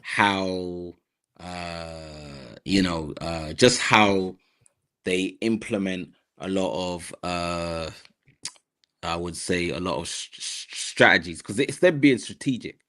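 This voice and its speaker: This London man has a very low voice. He talks haltingly as a podcast guest and often restarts with ums and uhs. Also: fairly bright tone. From South London, Nigerian heritage. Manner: hesitant, many fillers and restarts. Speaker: guest, mental health advocate. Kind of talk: podcast interview conversation.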